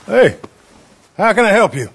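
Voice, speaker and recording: A man's voice: high-pitched, sing-song, moderate pace, creaky, a bit bright, husky, full character voice. An actor playing an affable, folksy Old West horse dealer with an American accent and a chatty salesman's manner. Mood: eager, friendly.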